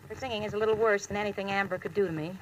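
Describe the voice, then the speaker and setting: An actress playing a sensible, exasperated young working woman with crisp theatrical delivery in 1940s radio comedy. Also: medium pitch, steady tone, rapid-fire, close to an everyday voice. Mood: resigned. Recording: faintly noisy old radio recording.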